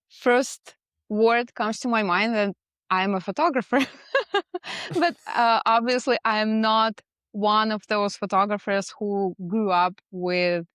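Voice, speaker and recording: A woman's voice: mid-range voice, a bit bright, no room sound, studio quality. A woman from Russia with a Russian accent, telling her own story as a guest in a casual interview. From Moscow, Russia. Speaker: podcast guest, portrait photographer.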